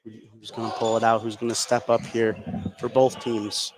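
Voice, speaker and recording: A man's voice: medium pitch, level tone, moderate pace, a crowd in the background, poor audio. A man giving conversational, reflective color commentary and analysis on a live basketball game.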